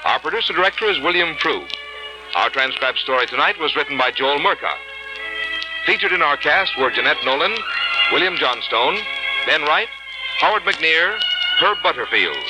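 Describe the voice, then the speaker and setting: An American man working as a radio announcer, reading scripted sponsor messages in 1950s style with a husky voice. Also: a little high, expressive, normal speed, poor audio. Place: radio studio. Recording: old 1950s radio broadcast, music behind.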